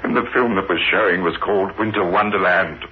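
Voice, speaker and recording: A man's voice: low-pitched, steady tone, brisk, close to an everyday voice. An actor playing a haunted, reluctant man cursed with visions of doom, anguished and hesitant in 1960s radio horror style. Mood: grim recollection. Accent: South African. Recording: dull, noisy old radio recording.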